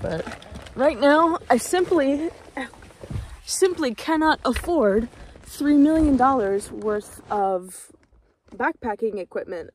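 A young woman in her twenties chatting casually to a handheld camera, giggly and prone to excited exclamations, filming in her car and on trails. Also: high voice, slight background noise. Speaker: vlogger. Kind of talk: casual vlog chat to camera. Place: inside a parked car and outdoors on forest trails. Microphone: phone or camera held at arm's length.

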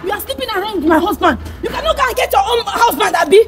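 A woman's voice: extremely high pitch, animated, very fast. A woman with a Nigerian accent acting as a furious, threatening wronged wife in a drama skit. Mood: scolding, menacing. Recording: noisy roadside location.

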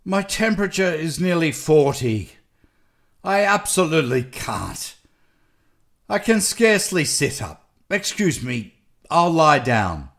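A man's voice: fairly high pitch, very animated, takes it slowly, creaky, a bit bright, pitched above the performer's own voice, heavily acted. A man playing an exhausted, grumpy, feverish country doctor, muttering and stubborn, in a storybook reading. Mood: exhausted protesting. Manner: growling, muttering, weary.

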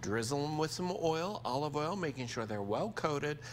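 A man's voice: a little high, lively intonation, normal speed. American TV chef, man in his sixties, noisy kitchen. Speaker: TV chef presenting a cooking segment. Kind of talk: cooking demonstration, conversational instruction. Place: indoor TV studio kitchen.

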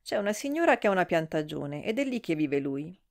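A woman's voice: on the low side, very animated, fairly quick, gravelly, darkish tone. A woman reading uneasy, evasive Fanny. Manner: short, evasive replies.

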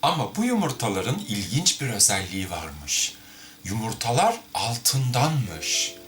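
Male storyteller, lowish register, very varied intonation; theatrical, colloquial delivery with a sardonic edge. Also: very animated, measured pace, a bit bright. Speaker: amateur storyteller, host of a tale-reading series. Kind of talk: expressive fairy-tale reading with informal commentary.

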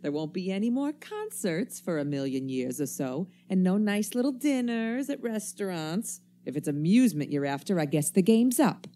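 A woman's voice: lowish voice, sing-song, moderate pace, hardly any pauses, warm tone. A woman acting a wary, embittered survivor in a radio play, with folksy, slangy, cynical speech. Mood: scheming, scornful. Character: wary, embittered survivor stranger armed with a knife.

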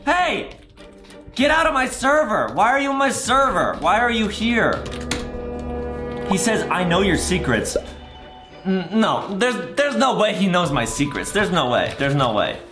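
Gaming host: man in his twenties, big theatrical kid-friendly commentary, unhurried pace, echoey room. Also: high voice, very animated, music in the background. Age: 20s. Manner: exaggerated, theatrical reactions with shouts and drawn-out words. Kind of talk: excitable gameplay commentary for kids. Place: indoor room with bare walls.

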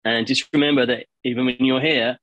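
A man joins a podcast as a guest over a video call, chatting loosely with plenty of fillers. His voice sits at a middle pitch, recorded dry and close. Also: fairly even intonation, a little fast, a bit bright, dry recording, dull audio. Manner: chatty, many fillers like "you know". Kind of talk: casual chat.

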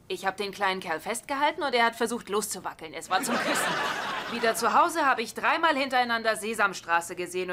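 Actress as sarcastic sitcom wife, higher voice, dry delivery, jittery, clear noise and clicks. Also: female voice, lightly acted. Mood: proud. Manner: dry, wisecracking. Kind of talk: sitcom dub acting.

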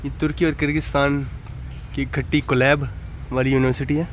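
A man's voice: medium pitch, little pitch movement, fairly quick. Young man vlogging on a noisy street. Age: young adult. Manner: chatty, upbeat narration to camera. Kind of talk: casual travel vlog. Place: outdoors on city streets. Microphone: phone held at arm's length. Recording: noisy outdoor street recording. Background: traffic and street noise.

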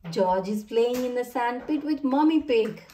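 High-voiced woman reading a children's storybook. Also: a little slow, recorded from a distance. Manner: sing-song, expressive, addresses children directly. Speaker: children's story reader, channel host. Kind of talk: storybook read-aloud for children.

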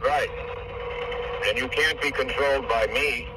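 A man's voice: mid-range voice, steady tone, unhurried, over a noisy crowd. Older man rambling on a distant phone call. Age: older, 65 or over. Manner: rambling, hard to follow, profane. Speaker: caller. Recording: distant phone call with faint car noise.

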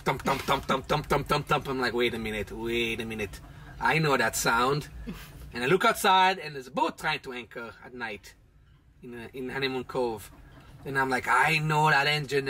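A man's voice: mid-range voice, sharp tone, slight background noise. A man in his thirties telling a sailing trip story in a chatty, casual way from a small boat cabin. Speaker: vlog host, sailor. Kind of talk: casual travel narration.

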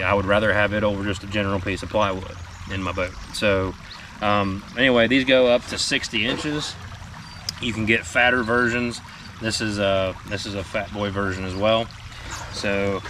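A man in his thirties sitting in a small boat on a river, casually explaining fishing gear to the camera in a relaxed, plain-spoken way with plenty of ums. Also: on the low side. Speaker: fishing guide and show team member. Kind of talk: casual instructional talk to camera. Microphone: camera mic from a few feet away. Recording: distant outdoor camera mic with noise.

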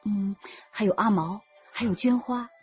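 A woman's voice: medium pitch, a little slow, dull audio, faint music behind, close to an everyday voice. An actress playing a blunt, assertive woman in a radio drama, pointed and confronting, who sees through lies. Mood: knowing, pointed.

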